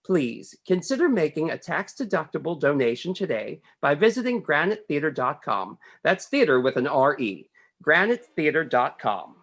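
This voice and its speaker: Husky-voiced woman making a theater announcement. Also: low voice, sing-song, moderate pace. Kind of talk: scripted fundraising announcement.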